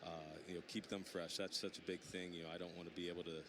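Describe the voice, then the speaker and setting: Male pro athlete, very low voice, rapid but halting casual interview, clear outdoor noise. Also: flat delivery. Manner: hesitant, repeats words, many "you know"s. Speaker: professional baseball player.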